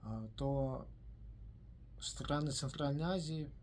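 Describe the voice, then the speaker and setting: A man giving a solo video commentary in a measured way, pausing often with hesitant filler sounds between phrases. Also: mid-range voice, a little mellow, slight background noise. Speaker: commentator on his own video channel. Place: based in the United States.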